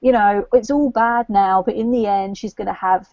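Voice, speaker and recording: A woman's voice: medium pitch, fairly even intonation, moderate pace, warm tone, dry recording. A woman co-hosting a casual book discussion livestream, thinking aloud and hedging as she chats. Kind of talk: casual chat. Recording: dull, lo-fi livestream audio.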